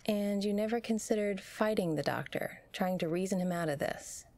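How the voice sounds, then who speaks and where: An actress playing a calm, reassuring interviewer in an audio drama, gentle and measured. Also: female voice, mid-range voice, natural voice. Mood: probing. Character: calm, reassuring female interviewer questioning a traumatized survivor.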